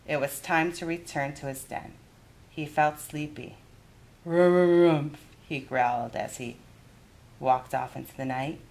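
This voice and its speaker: A woman narrating a children's story in an expressive read-aloud style. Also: a bass voice, a little slow, creaky. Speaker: youth adviser reading a children's story.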